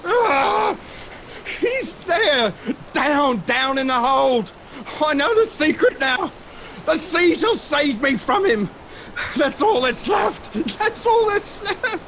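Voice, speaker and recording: Horror audio drama: a mad, frightened sailor, very high strained voice, slow and theatrical, faint noise behind. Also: male voice, sing-song, fairly bright tone, exaggerated voice. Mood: mad hysteria. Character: terrified, raving mad ship's mate. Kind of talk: theatrical horror radio acting.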